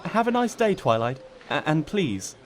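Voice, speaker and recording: A concerned female pony schoolteacher in a storybook comedy, played by a man. She is polite and gently fussing, with hesitant phrasing and a raised, lilting pitch. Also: big pitch swings, normal speed. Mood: politely doubtful.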